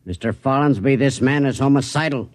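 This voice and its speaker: A man's voice: mid-range voice, fairly quick, darkish tone, no echo, lightly acted. A man acting a brusque, authoritative planetary security officer in a 1950s radio drama. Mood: stern, urgent. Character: stern, gnarled, ugly planetary security agent. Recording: noisy 1950s radio recording.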